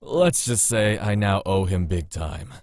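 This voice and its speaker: An actor playing a gruff, stubborn man in a visual novel, blunt and cocky but caring. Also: sits low, expressive, unhurried, a little mellow, no echo, clean studio sound. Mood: cocky, casual.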